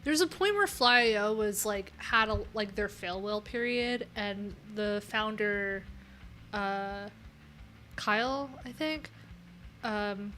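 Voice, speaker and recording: A woman's voice: medium pitch, takes it slowly, pushed and bright, slight background noise. A woman hosting a casual coding livestream, talking candidly in a rambling way with lots of filler words. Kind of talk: casual live-stream chat.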